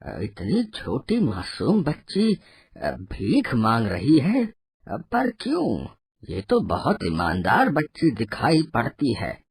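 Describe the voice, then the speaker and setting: An actor plays a gentle old benefactor in a moral cartoon story. The voice is husky and slow, with hesitant, fatherly phrasing that swings widely in pitch. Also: wildly swooping pitch, no room sound, heavily acted. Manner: hesitant, drawn-out, fatherly delivery. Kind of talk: animated moral story dialogue.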